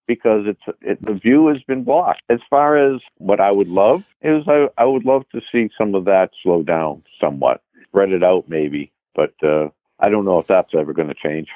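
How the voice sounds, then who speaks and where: A man's voice: fairly low pitch, dry recording. A man with a Boston accent, a guest in an interview, speaking in a thoughtful, somewhat hesitant way. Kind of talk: casual interview. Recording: tinny phone line.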